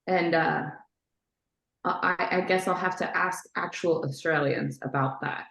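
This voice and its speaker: Gravelly low woman in an echoey room. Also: steady tone, measured pace, a bit bright. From Mexico. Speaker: guest.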